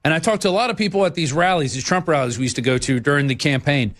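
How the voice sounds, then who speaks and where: A man's voice: fairly high pitch, a bit bright. Upbeat man hosting a call-in show. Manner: brisk, upbeat, conversational. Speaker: radio talk show host. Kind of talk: call-in radio talk.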